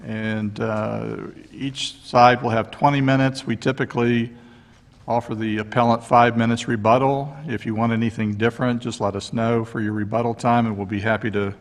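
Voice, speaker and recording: A man's voice: medium pitch, little pitch movement, normal speed. A man presiding over an appeals court session, easygoing and joking as he sets out the rules. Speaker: presiding judge. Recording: long courtroom reverb.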